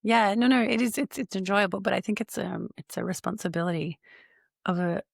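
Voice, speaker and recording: A woman's voice: mid-range voice, fairly even intonation, fairly quick, vocal fry, no room sound, clean studio sound. A woman in her forties hosting a podcast interview, speaking in a thoughtful, reflective way. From Australia. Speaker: podcast host, CEO.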